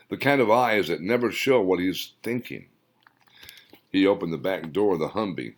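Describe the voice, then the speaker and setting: A man with a lowish voice reads his own story aloud. His narration is lively, with pitch rising and falling a great deal. He tells it expressively, as a storyteller. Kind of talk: audiobook narration.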